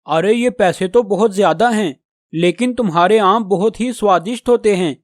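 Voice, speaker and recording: A man's voice: high-pitched, normal speed, no echo, clean studio sound, natural voice. A man voicing a friendly, chatty male crow who shops for mangoes in a children's cartoon. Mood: friendly, eager.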